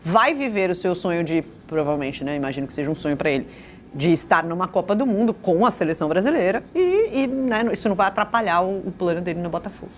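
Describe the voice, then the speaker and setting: A woman co-hosts a football panel, talking fast with a lively, swinging pitch. Her voice sits slightly low, and faint noise runs behind it. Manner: quick, with lots of agreeing interjections. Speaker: sports show co-host. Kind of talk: casual football panel talk.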